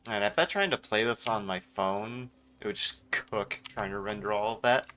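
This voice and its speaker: A man's voice: lowish voice, steady tone, normal speed, sharp tone. A man giving casual live commentary as he streams a video game. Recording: clear background noise with clicks.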